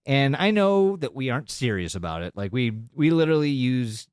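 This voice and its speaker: A man's voice: medium pitch, very animated, fairly quick, smooth, dry recording, clean studio sound. A man co-hosting a podcast with casual comedic banter, irreverent and teasing, swearing casually. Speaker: podcast co-host.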